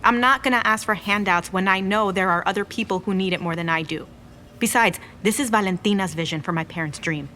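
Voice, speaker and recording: An actress playing a stressed, stubborn young restaurant owner in a naturalistic romantic-comedy audio drama. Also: female voice, medium pitch, brisk, pushed and bright, slight background noise, natural voice. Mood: anxious, proud. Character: stressed, stubborn young Mexican American woman running a restaurant.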